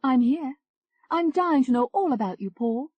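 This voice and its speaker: A woman voicing an eager, flirtatious Englishwoman, raising her pitch well above her own voice. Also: expressive, normal speed, no echo. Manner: bright, eager, teasing.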